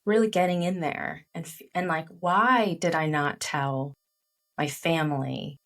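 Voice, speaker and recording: A middle-aged woman, a guest author whose first language is Chinese, recounts personal memories with animated, candid energy. Her voice sits on the low side. She sounds a little distant from the microphone. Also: unhurried. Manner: animated storytelling with frequent "like" fillers. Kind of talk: candid interview conversation.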